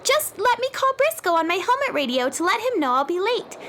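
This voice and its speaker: A woman's voice: very high-pitched, sing-song, a little fast, fairly bright tone, slight background noise, exaggerated voice. A woman voicing a cheerful, friendly young space schoolgirl in a children's audio drama, polite and reassuring. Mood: upbeat, reassuring.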